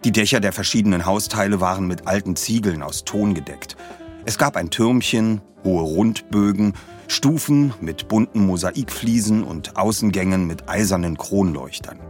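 A man giving a measured, clearly articulated scripted narration of a detective story. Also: low voice, faint music behind.

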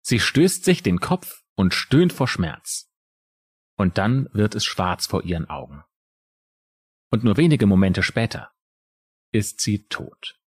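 A male true crime podcast co-host with a Palatinate German accent, telling the story dramatically with pauses. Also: on the low side, big pitch swings, slightly rough.

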